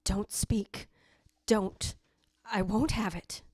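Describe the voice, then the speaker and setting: An actress playing a tense, imperious sister in an old-time radio style ghost drama. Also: female voice, medium pitch, very slow, a little mellow, husky, no echo. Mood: agitated. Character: Caroline, tense, imperious sister hiding dread.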